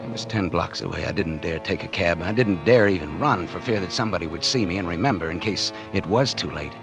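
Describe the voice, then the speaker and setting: A man's voice: sits low, a little fast. An American actor playing a jittery, guilty murderer in a 1940s radio drama, stammering and hesitant as he confesses. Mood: tense confession. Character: nervous, guilty murderer confessing his scheme. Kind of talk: 1940s radio drama acting, first-person narration. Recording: old 1940s radio recording, music behind. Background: dramatic music.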